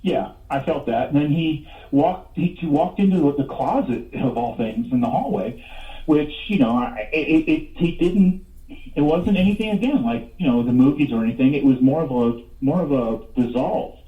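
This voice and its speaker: A man in his fifties telling stories as an interview guest in a halting, rambling way, repeating words as he goes. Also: on the high side, lively intonation. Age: 50s. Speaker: radio show guest, paranormal investigator. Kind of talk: casual interview storytelling. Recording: tinny phone line, echoey, distant.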